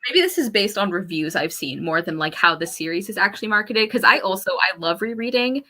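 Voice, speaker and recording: A woman's voice: medium pitch, animated, a little fast, pushed and bright. A woman co-hosting a casual book discussion, thoughtfully qualifying her opinions as she goes. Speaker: co-host on a book discussion livestream.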